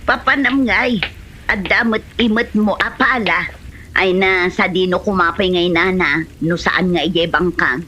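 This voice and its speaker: A woman's voice: lowish voice, measured pace, bright, forceful tone, muffled recording, a little hiss or hum. A woman with a Filipino accent playing a stubbornly single, exasperated village farm woman in a comedy drama. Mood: curious, chatty. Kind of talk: comedy radio-style drama acting.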